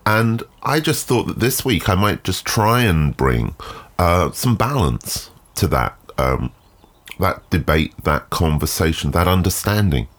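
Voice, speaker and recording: Male podcast host, extremely low voice, nasal with a cold, measured and musing. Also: sing-song, a bit bright. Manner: thoughtful, measured, with frequent ums and uhs. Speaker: photography lecturer and photographer. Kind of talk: solo podcast monologue. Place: garden shed.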